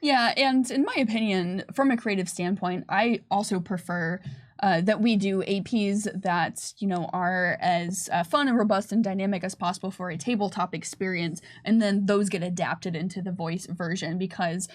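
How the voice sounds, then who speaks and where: A woman with a medium-pitched voice talks as a panelist on a casual livestream. She fields questions in an informal way and is happy to poke a little fun at herself. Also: moderate pace. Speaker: panelist and game developer on a company livestream.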